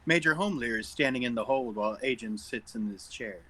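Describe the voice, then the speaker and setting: A man narrates a script at a table read. His mid-pitched voice is noticeably husky as he reads out the stage directions. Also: brisk, bright, forceful tone.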